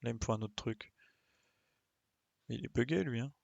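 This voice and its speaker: A man's voice: medium pitch, moderate pace, gravelly, a little mellow, dry recording, lo-fi sound. A man doing casual live game commentary, thinking aloud in informal slang and exclaiming as he plays. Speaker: game streamer.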